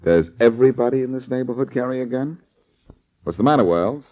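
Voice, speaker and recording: An American actor playing a cocky, wisecracking amateur detective in 1940s radio style, flippant and quick-witted. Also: male voice, medium pitch, lively intonation, close to an everyday voice. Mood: cool, probing. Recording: dull, lo-fi old radio recording.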